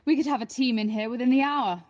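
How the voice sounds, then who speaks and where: A woman's voice: fairly high pitch, fast, darkish tone, lo-fi sound, lightly acted. A woman playing a haughty, scornful rich mother in a dystopian audio drama. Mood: angry contempt.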